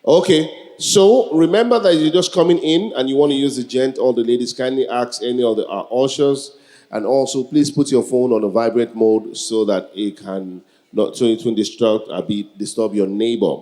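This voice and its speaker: A man's voice: mid-range voice, normal speed, warm tone. A man in his early forties with a Nigerian accent, hosting a live event with joking, improvised banter and questions to the crowd. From Lagos, Nigeria. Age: early 40s. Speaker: event co-host, master of ceremonies.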